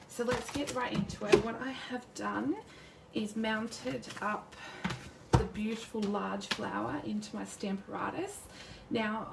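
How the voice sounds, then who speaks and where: Woman, croaky sore throat, rambling live-stream crafter, roomy echo, faint background hiss. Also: medium pitch. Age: adult with a son. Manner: chatty, rambling, greets viewers by name. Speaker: crafting demonstrator, youth worker. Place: home craft desk. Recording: roomy distant mic at a craft desk.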